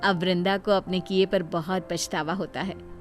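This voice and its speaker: A woman's voice: on the low side, steady tone, moderate pace. A woman narrating a scripted bedtime story. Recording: music behind the voice. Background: background music.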